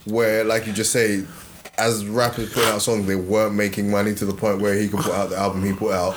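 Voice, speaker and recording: A man in a casual podcast chat, speaking in a measured, thoughtful way and building up long points. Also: fairly low pitch, flat delivery, noisy background. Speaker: podcast co-host.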